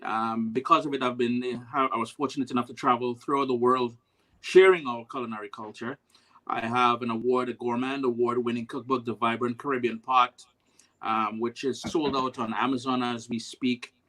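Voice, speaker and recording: A Trinidadian man, a food blogger on a panel, talking in a relaxed, easygoing way. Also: medium pitch, moderate pace, poor audio. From Trinidad and Tobago. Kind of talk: casual video call panel chat.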